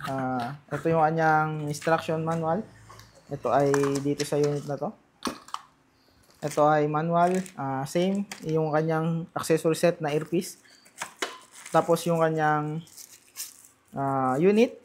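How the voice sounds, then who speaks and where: A man's voice: a little high, steady tone, measured pace, long pauses, slight background noise. A Filipino man doing casual unboxing and testing commentary as a vlogger, talking informally straight to his viewers and mixing in English. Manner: informal, addresses viewers directly, mixes Tagalog and English.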